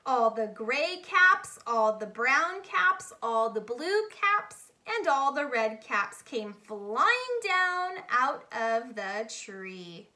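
A high-voiced woman reads a children's story aloud. Her delivery is slow and expressive, with a bright tone aimed at young listeners. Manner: animated, deliberate, addressing young listeners. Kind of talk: expressive picture-book read-aloud.

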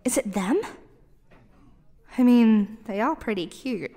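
A woman's voice: fairly high pitch, expressive, slow, dark tone, no echo. A woman playing a clumsy, flustered young barista who stammers and apologizes in an audio roleplay. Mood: puzzled, self-talk. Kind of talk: ASMR roleplay acting.

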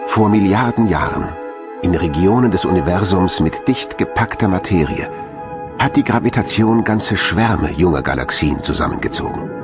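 A man narrates a documentary in a deep voice. His delivery moves up and down expressively, and music plays clearly behind him. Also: expressive, moderate pace.

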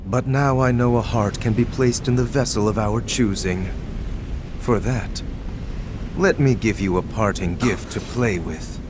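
A low-voiced, menacing game villain, played by a man. He speaks calmly and with measured menace, over noisy game effects. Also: natural voice. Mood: coolly menacing. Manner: measured, ominous. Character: calm, menacing male villain in dark fantasy game. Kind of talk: video game cutscene acting.

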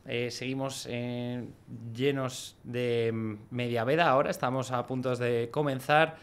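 A man's voice: mid-range voice, steady tone, unhurried, smooth. A young man hosting a podcast, opening the episode in a casual, hesitant way full of fillers. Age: young adult. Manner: hesitant, many fillers, friendly. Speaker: podcast host. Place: podcast studio, indoors. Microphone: studio mic on a boom arm, with headphones.

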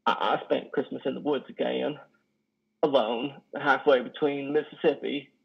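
Man playing a husky, lonely trucker. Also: medium pitch, steady tone. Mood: lonely, downcast. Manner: halting, stammering, folksy. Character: lonely, guilt-ridden, worn-out male trucker confessing. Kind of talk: online play reading. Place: living room, on a sofa. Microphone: headset on video call. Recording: dull video call audio.

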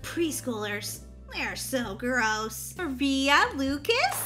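A woman's voice: high-pitched, sing-song, slow pace, over music, exaggerated voice. A woman playing a kindly preschool teacher who addresses parents and hands out diplomas in a sing-song manner.

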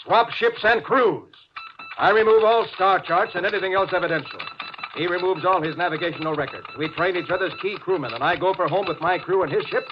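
An actor playing a resolute spaceship captain in a 1950s radio drama, blunt and commanding. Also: male voice, high-pitched, a little fast, close to an everyday voice. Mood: decisive. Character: gruff, resolute male spaceship captain negotiating first contact. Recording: dull, noisy 1950s radio recording.

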